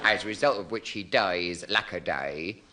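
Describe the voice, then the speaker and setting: An actor playing a cheerfully daft rustic folk singer, earnestly explaining nonsense in a 1960s radio comedy sketch. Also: male voice, low-pitched, normal speed, natural voice. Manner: mock-rustic dialect, earnest delivery of nonsense. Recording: noisy 1960s radio recording.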